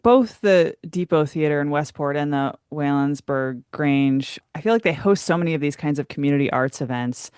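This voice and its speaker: Smooth-voiced woman radio interviewer, dry sound. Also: low voice, moderate pace, hardly any pauses, darkish tone, no room sound. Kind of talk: conversational interview questions.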